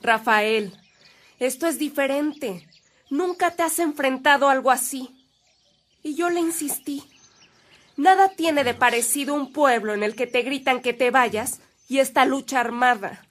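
A woman's voice: high voice, unhurried, fairly bright tone. An actress with a Mexican accent playing a devout, anxious woman in a radio drama, speaking in an earnest, emotive way. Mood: anxious, insistent. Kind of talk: Spanish-language radio drama acting.

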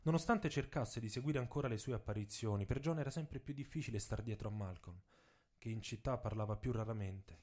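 A man reads a scripted dramatic story for radio theatre in measured, deliberate phrases with dramatic pauses. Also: medium pitch, darkish tone. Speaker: author and narrator. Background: music, saxophone and drums.

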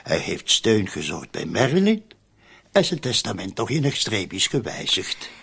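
Cynical Flemish murder accomplice, radio drama. Husky voice, very animated delivery, streetwise and pressing. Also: male voice, lowish voice, normal speed, exaggerated voice. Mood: insistent, cynical. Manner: insistent, colloquial, spelling things out.

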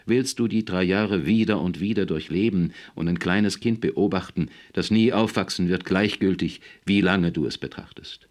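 Man playing a distraught, gravelly historian husband. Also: low voice, expressive, moderate pace, fairly bright tone, heavily acted. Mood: strained scolding. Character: distraught male historian, stern husband barely keeping control.

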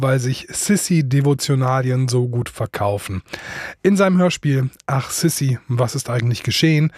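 Male presenter for scripted radio intro, husky tone, dry recording. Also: medium pitch, darkish tone, dry recording.

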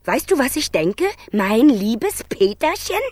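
An actress playing a needling, accusing accomplice in crime in a combative radio drama scene. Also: female voice, fairly high pitch, big pitch swings, slow, a little mellow, no echo, studio quality, full character voice. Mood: suspicious, needling.

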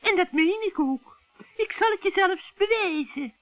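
A man voicing a scheming old witch in a children's radio play, shrill and cackling, with sugary, wheedling friendliness. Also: lively intonation, no room sound. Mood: sugary, gleeful. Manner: wheedling, drawn-out coaxing. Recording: dull, dry vintage radio recording.

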